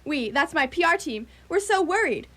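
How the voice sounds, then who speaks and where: A woman's voice: high-pitched, very animated, normal speed, fairly bright tone. A woman playing a gushing, gossipy grown daughter in a radio play, chatty and dramatic. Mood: flustered, relieved. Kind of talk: community radio theatre acting.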